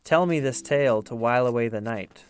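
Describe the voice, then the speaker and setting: A man narrating a historical tale as a storyteller, with theatrical, measured delivery. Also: medium pitch. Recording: music under the voice. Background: musical instruments playing.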